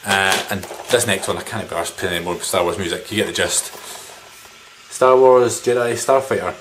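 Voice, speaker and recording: Male vlogger, thirties, lowish pitch, loose and rambling informal delivery, home recording with slight noise. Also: normal speed, a bit bright. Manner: rambling, informal, mildly sweary. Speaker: video game collector vlogger. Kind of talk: casual chat showing game pickups to camera. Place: small living room indoors. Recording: background noise, room ambience.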